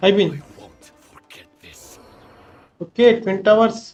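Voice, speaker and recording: A male game streamer with a Telugu accent chats casually as he plays. His voice is high and extremely quick, heard through a dull microphone with faint game music. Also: darkish tone. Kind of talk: casual live gameplay commentary.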